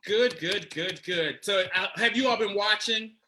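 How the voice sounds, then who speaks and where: A man's voice: sits high, measured pace, pushed and bright. A man hosting a casual dating chat on a video call, playful and laughing often. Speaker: dating coach host.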